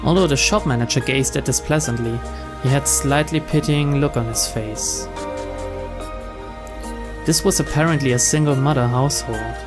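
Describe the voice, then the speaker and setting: Man narrating a story over soft music. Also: medium pitch. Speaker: narrator reading a visual novel aloud.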